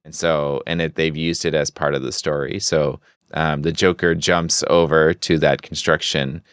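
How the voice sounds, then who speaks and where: Very deep-voiced man chatting casually. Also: little pitch movement, moderate pace, fairly bright tone, smooth, no echo, clean studio sound. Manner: conversational, explanatory. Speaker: podcast co-host. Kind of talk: casual comic-book recap chat.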